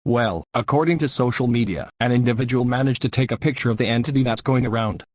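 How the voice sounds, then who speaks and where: A man's voice: mid-range voice, quick pace, no room sound, lightly acted. A man playing an urgent news reporter in a mock breaking-news report.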